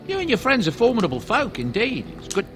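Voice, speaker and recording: An actor playing an obliging, deferential merchant in a fantasy video game, polite and eager to please. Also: male voice, very high-pitched, wildly swooping pitch, quick pace, a bit bright, soft background music, full character voice. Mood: pleased, obliging.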